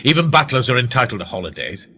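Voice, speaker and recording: A man playing a genial, wry gentleman receiving a visitor in a radio play, courteous and philosophical in manner. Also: medium pitch, sing-song, quick pace, slight background noise.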